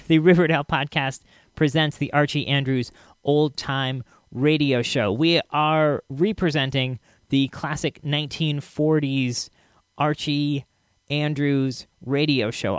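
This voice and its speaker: A man with a slightly high voice hosts a podcast in a very dry recording. He chats casually and a little haltingly, addressing his listeners directly. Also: clean tone, no room sound. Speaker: podcast host. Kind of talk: casual podcast introduction to old radio shows.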